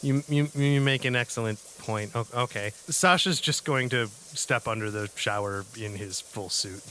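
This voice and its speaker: A man improvising as a player on a tabletop game podcast, stammering and halting as he narrates and speaks in character. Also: medium pitch, bright, forceful tone, slight background noise.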